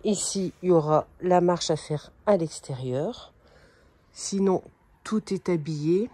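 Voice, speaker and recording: Low, mellow middle-aged woman chatting casually. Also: a little slow, breathy edge. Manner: informal, chatty, talks to her pet in passing. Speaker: vlogger giving a house tour. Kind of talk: casual home-tour chat to camera. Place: indoors, walking through house rooms.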